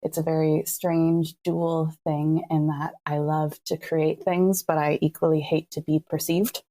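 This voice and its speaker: A woman's voice: low-pitched, flat delivery, unhurried, mellow. A woman giving earnest, thoughtful reflections as an artist in a conversational interview. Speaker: artist and podcast creator.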